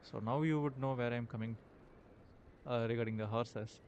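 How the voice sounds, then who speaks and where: Halting Indian-accented man. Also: mid-range voice, steady tone, a little mellow, lo-fi sound, slight background noise. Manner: hesitant, halting, many fillers. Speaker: roleplay streamer. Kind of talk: in-character roleplay chat.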